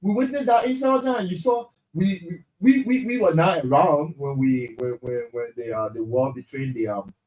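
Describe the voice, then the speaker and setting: A man, co-hosting a late-night talk show, reading a statement aloud and talking it over in a lively way, often repeating words. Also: on the high side, normal speed, hardly any pauses, poor audio. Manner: animated, repeats words when he gets emphatic. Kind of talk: casual studio chat and reading aloud.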